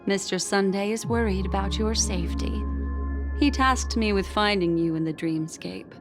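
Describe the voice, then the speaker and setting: A woman's voice: medium pitch, moderate pace, music in the background, lightly acted. A woman playing a poised, needling envoy in a video game, speaking in a theatrical, knowing, condescending way. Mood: knowing, composed. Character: poised, needling female envoy and exposer of lies.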